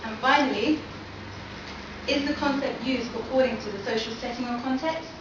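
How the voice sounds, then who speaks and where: Young woman, fairly high voice, seminar presentation, asks the audience questions, far off in an echoey hall, dull recording. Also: moderate pace, noisy background. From London. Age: young adult. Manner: poses many questions to the audience. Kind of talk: academic presentation. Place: lecture hall.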